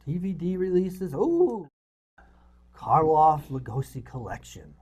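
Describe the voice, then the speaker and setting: Middle-aged man with lively, higher-pitched delivery. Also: slow pace, slightly rough. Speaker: co-host of a movie review show. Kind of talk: casual chat.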